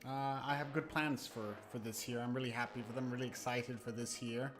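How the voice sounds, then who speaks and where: A man's voice: mid-range voice, steady tone, a little fast, fairly bright tone, a little hiss or hum. A man hosting a livestream, chatting casually with viewers in a rambling, stop-start way over background music. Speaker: live streamer and host. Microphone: new close microphone, no webcam.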